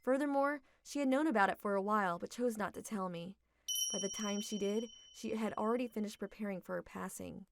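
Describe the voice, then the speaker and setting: A woman's voice: medium pitch, brisk, heavy background noise. A young adult woman narrating a manga dub in a reflective, emotional way.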